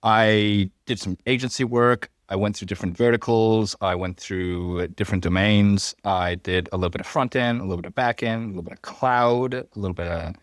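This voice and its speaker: Man, thirties, mixed Fijian and antipodean accent, lowish voice, dry close sound, rambling and joking. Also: steady tone, a little fast, few pauses, dry recording. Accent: mixed Fijian, New Zealand, Australian and American. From Fiji, raised in Hawaii. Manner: rambling, self-deprecating, joking asides. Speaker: podcast guest, software engineer. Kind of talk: casual podcast chat.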